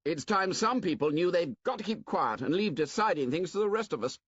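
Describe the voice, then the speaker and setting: A man voicing a domineering, contemptuous boy hunter who speaks forcefully and scornfully. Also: medium pitch, wildly swooping pitch, normal speed, husky, dry recording, dull audio, voice pushed up.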